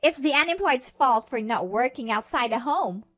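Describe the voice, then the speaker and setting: A haughty, spiteful younger sister in a dramatized story, put on by a woman narrator. The voice jumps high and animated as it berates and scorns. The recording is dry and close. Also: moderate pace, no echo, soft background music. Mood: scornful.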